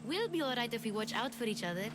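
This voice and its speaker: A woman's voice: fairly high pitch, big pitch swings, quick pace, noisy background. A woman voicing a carefree, plucky young girl in a video game. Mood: breezy.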